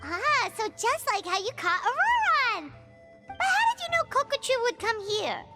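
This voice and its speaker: A woman voicing a tiny, excitable, childlike fairy companion in a video game. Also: very high voice, moderate pace. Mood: curious, excited. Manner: exclamatory, childlike. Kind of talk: video game cutscene voice acting. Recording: loud music underneath.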